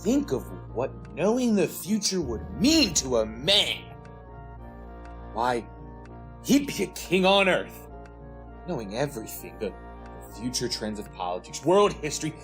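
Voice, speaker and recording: An actor playing an excitable, grandiose young club member in a theatrical, rhapsodic retro radio drama style. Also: male voice, medium pitch, unhurried, soft background music. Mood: feverishly grandiose.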